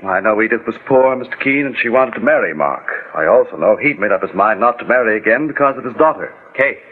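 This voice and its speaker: A narrow old radio recording of an actor as an agitated male suspect. He talks quickly in a lowish voice, defensive and insistent, in 1940s dramatic style. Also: expressive, natural voice.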